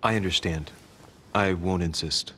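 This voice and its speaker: An actor playing a persistent, earnest young investigator from a secret society in a video game, coaxing and insistent. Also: male voice, low voice, a little slow, pushed and bright, a little hiss or hum. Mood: apologetic. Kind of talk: dramatic video game dialogue. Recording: music under the voice.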